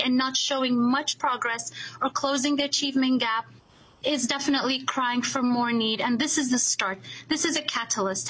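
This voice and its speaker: An earnest woman educator from Somalia testifies, and her voice sits high. She often pauses on fillers and restarts her sentences as she talks. A faint hiss of room noise runs under her. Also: fairly bright tone, poor audio. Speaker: educator giving public testimony. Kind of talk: unscripted public testimony.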